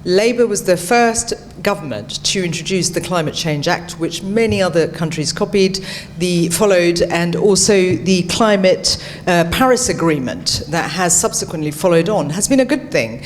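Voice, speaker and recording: A woman from Bangladesh speaking as a parliamentary candidate at a hustings, earnest and measured. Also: on the low side, lively intonation. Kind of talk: debate answer at a hustings. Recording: echoey hall with faint hum.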